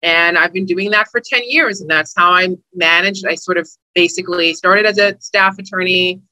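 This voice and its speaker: A woman's voice: lowish voice, normal speed, sharp tone, no room sound, rough recording. A woman, a housing attorney talking as an interview guest, friendly and chatty. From Brooklyn, New York. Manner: friendly and chatty, with easy laughs. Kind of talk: interview conversation.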